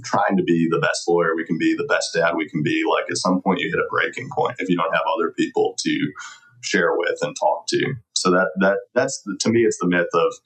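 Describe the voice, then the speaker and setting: A man's voice: low-pitched, fairly even intonation, brisk, fairly bright tone, rough recording. A middle-aged man answering conversationally as an interview guest, earnest and reflective. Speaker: podcast guest, lawyer.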